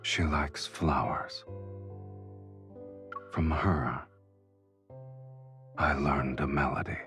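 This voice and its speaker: Give the scene a powerful male fiend of the underworld. His voice is very deep and he speaks slowly, with cool, teasing understatement. It is romantic fantasy game dialogue with light music underneath. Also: steady tone, fairly bright tone, exaggerated voice. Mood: soft, tender.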